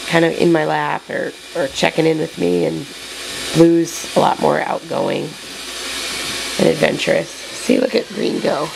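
A woman's voice: low-pitched, measured pace, creaky, background noise. A woman who is losing her voice, chatting casually and rambling on camera from an indoor puppy room. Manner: chatty and rambling, many fillers, reassuring. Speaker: dog breeder filming her puppies. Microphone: handheld camera mic, moving around.

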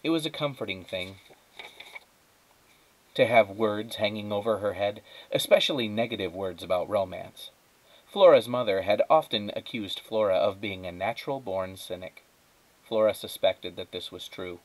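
A man's voice: fairly low pitch, normal speed, low-quality recording. A man narrating a children's storybook aloud, as a teacher does. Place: indoors at a wooden table.

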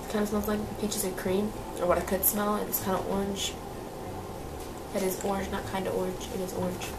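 A woman's voice: mid-range voice, monotone, brisk, heavy background noise. A young woman with a slightly nasal voice from a cold, chatting casually as she reviews beauty products in a small room. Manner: chatty, lots of fillers and repeats. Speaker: beauty vlogger.

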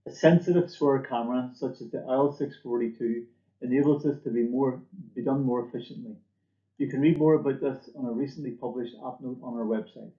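A man's voice: medium pitch, fairly even intonation, brisk, warm tone. Man presenting in a muffled, echoey room. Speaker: company presenter. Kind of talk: scripted product presentation. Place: small indoor office or lab room.

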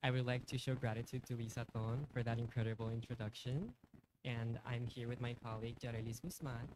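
A young man presents in English at a podium microphone, his voice at a middle pitch. The delivery is rehearsed and polite, with steady background noise under it. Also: a little fast. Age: young adult. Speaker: fashion design student. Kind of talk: student presentation. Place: indoor university event stage. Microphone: podium microphone on a stand.

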